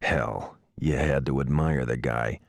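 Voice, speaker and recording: An actor playing a cynical, world-weary police pilot narrating a noir-style video game story in a measured, wry way. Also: male voice, rumbling low, gravelly, a little hiss or hum, heavily acted. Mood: grudging, sombre.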